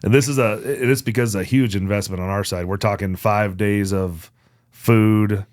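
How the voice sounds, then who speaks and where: Male American co-host, relaxed and joking, lower-register voice, dry podcast sound. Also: moderate pace, no room sound. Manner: laid-back, jokey, casually profane. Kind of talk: casual podcast chat.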